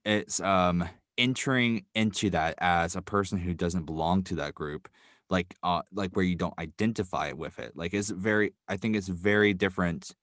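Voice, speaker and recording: Low-voiced young man, halting and thoughtful. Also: no room sound, studio quality. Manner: halting, thoughtful, many fillers and restarts. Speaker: podcast guest, writer and sound designer. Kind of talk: casual interview talk.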